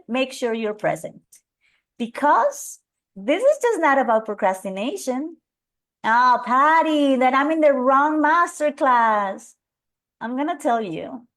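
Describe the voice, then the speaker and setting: A middle-aged woman from Colombia with a Colombian Spanish accent, presenting an energetic live webinar and calling out audience members by name. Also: a little high. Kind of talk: live webinar coaching talk.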